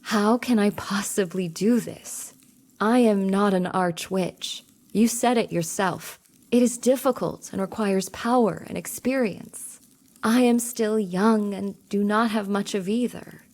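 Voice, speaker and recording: A woman's voice: medium pitch, measured pace, darkish tone. A woman playing a determined young rebel witch in a video game cutscene, speaking earnestly and formally.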